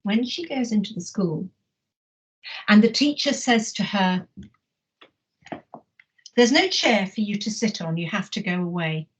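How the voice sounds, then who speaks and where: A woman's voice: mid-range voice, expressive, a little slow, long pauses, slightly rough, rough recording. Woman reading a picture book to children. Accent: English. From Wales. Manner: gentle storyteller, asks children questions. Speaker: teacher. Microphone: live online lesson mic.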